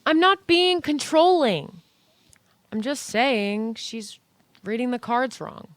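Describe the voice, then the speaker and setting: A woman playing a competitive, insistent young woman in an audio play, speaking in a brisk, assertive manner. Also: on the high side, animated, dry recording. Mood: defensive.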